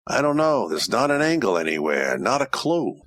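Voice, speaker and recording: An actor playing a hard-boiled, wisecracking Roman private eye, wry and tough-talking, in an old-time radio detective parody. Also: male voice, mid-range voice, sing-song, moderate pace, a bit bright, no room sound, studio quality. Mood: weary.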